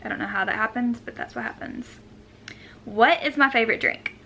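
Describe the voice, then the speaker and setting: Bright-voiced young woman vlogging. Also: on the high side, a little fast, gravelly, slight background noise. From Arkansas, United States. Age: 20s. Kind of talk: casual chatty question-and-answer vlog. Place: room at home.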